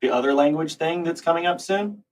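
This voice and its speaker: An American man co-hosting a livestream, chatting in a relaxed, joking way. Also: fairly high pitch, brisk. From United States. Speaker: co-host. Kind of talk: casual livestream chat.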